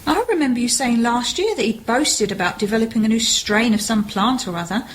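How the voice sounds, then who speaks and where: Woman playing high-voiced, sensible, cautious sister. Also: lively intonation, fairly quick, slight background noise, close to an everyday voice, low-quality recording. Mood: encouraging. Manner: questioning, sensible. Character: Shirley, homely, sensible, cautious sister and sailor's relative. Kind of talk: amateur radio play acting.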